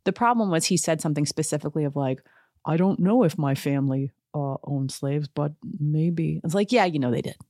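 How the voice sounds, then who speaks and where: A woman with a low, mellow voice chats through her podcast in a loose, sarcastic way. She laughs often between asides. The sound is dry and close, with no room around it. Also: brisk, dry recording. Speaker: podcast host. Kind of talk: casual chat.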